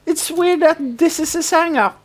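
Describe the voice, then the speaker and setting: A woman's voice: very high-pitched, moderate pace. A woman co-hosting a podcast, casually recapping a show and acting out bits of dialogue as she retells it. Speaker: podcast co-host.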